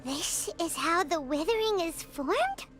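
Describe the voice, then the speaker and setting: A woman voicing a tiny, excitable female companion who talks about herself in third person, in video game dialogue over game music. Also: extremely high pitch, measured pace, heavily acted.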